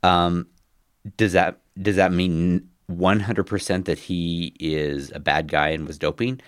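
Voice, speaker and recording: A man with a bass voice and a cold coming on, hosting a casual podcast and talking with many hesitations and restarts. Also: steady tone, takes it slowly, smooth, no room sound, clean studio sound. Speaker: podcast host.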